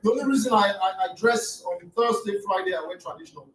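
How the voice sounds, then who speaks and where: A middle-aged Nigerian man, a native Yoruba speaker, giving a lecture. He speaks with passion, rises to near shouts and stammers on his restarts. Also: sits high, quick pace. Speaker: guest lecturer. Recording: echoey room, distant mic, faint noise.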